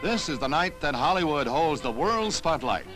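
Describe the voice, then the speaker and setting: A man's voice: a little high, sing-song, moderate pace. A man with an American accent narrating a 1960s newsreel in a formal, declarative announcer style. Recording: 1960s newsreel soundtrack with music. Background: music.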